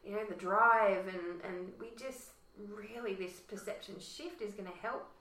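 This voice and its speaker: A woman host with a croaky voice, chatting warmly and using lots of fillers. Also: mid-range voice, measured pace, distant mic. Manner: chatty, lots of "you know". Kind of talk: casual discussion. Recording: roomy echo.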